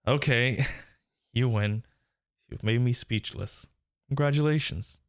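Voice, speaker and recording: A man's voice: mid-range voice, takes it slowly, smooth, no room sound, clean studio sound. A man playing a smitten, teasing workplace rival turned lover in an intimate romantic audio roleplay, murmuring tenderly and breathily. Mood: tender, amused.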